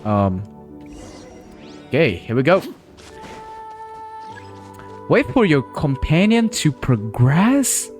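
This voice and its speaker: A man's voice: on the high side, very animated, slow pace, pauses often, a little mellow, soft background music. A man streaming live gameplay, chatty and playful, talking to himself as he plays. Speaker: game streamer.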